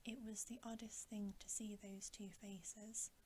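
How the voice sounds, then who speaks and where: A woman reads a bedtime story in a breathy ASMR whisper. Her delivery is slow and gentle, with little movement in pitch. The tone is soft and mellow, over a faint bed of noise. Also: flat delivery. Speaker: ASMR reader.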